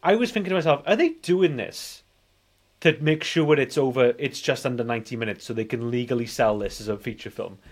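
A man in his forties with a British accent and a medium-pitched voice chats as a film podcast co-host. He talks emphatically, circling back and repeating phrases to drive his points home. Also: brisk. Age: 40s. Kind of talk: casual film discussion.

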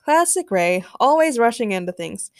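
A woman's voice: on the high side, sing-song, moderate pace, dry recording, clean studio sound. A woman voicing a supportive male wrestling coach in a story, wry and muttering.

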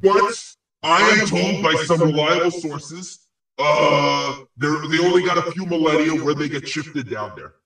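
A man playing the crude, spiteful ghost of a dead man, pompously handing out insults and prophecies in a halting comic delivery. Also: fairly high pitch, gravelly, pushed and bright, exaggerated voice. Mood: smug. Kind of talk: improvised comic audio play. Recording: very echoey, distant-sounding voice.

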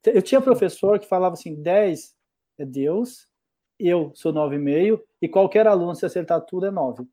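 A man's voice: high-pitched, lively intonation, moderate pace, dark tone. A middle-aged man from Brazil, a mathematics professor, talking reflectively in a casual conversation with many restarts.

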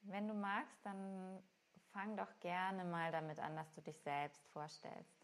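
A woman hosting a podcast, calmly introducing the episode and gently asking her guest questions. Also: fairly low pitch, takes it slowly. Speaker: podcast host.

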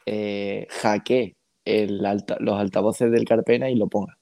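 A man's voice: on the low side, flat delivery, measured pace, gravelly, mellow, no room sound, clean studio sound. A man co-hosting a radio show in casual, joking chat. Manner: joking, bantering.

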